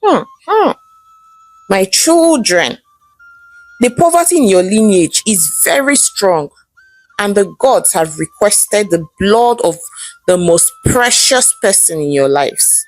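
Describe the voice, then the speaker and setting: A solemn, commanding shrine priest, put on by a woman storyteller in a folktale. He pronounces things deliberately and ominously, with a wide-ranging pitch. Faint music plays behind. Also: lively intonation, rough recording.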